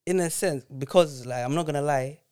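A man's voice: a little high, fairly quick, darkish tone, clear, resonant tone. A man from Zambia, chatting casually with slangy, laid-back speech and frequent laughter. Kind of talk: casual chat.

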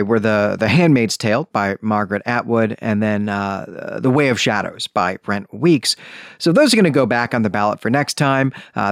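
Man, podcast host, lively swooping intonation, conversational, discursive asides, close dry sound. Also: mid-range voice, dry recording. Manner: chatty, discursive, with frequent asides.

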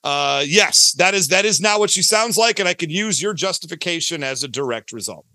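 A man's voice: a little high, animated, brisk, bright, forceful tone, no echo. A middle-aged man chatting casually as a podcast guest, rambling and emphatic in an enthusiastic, gushing way. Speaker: podcast guest, audio drama creator. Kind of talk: casual, rambling interview chat.

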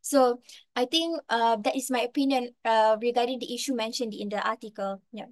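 A woman's voice: on the high side, a little fast. A young Malaysian woman with a Malaysian accent, giving her opinion on a podcast panel and talking thoughtfully, with frequent fillers and "right?" tag questions. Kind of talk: opinion discussion.

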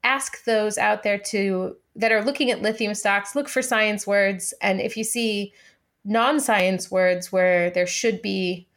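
A woman's voice: mid-range voice, steady tone, slow, a bit bright. Articulate, dryly wry female podcast co-host. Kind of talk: conversational market discussion.

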